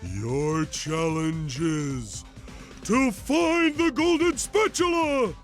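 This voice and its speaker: A man's voice: high voice, extremely slow, soft background music. A man voicing a dim-witted, self-important male starfish in a cartoon game, dopey and pompous.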